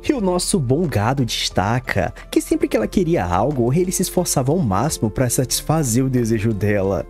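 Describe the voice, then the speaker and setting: A man's voice: medium pitch, mellow. A Brazilian man narrating a story recap in a casual, slangy way, with irreverent joking asides to the audience. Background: background music.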